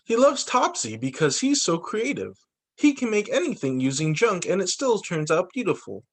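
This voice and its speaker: Lively male teacher reading storybooks aloud. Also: high voice, moderate pace. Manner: expressive, sing-song storybook delivery. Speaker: teacher reading to young children. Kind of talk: read-aloud children's story time. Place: remote video call story session.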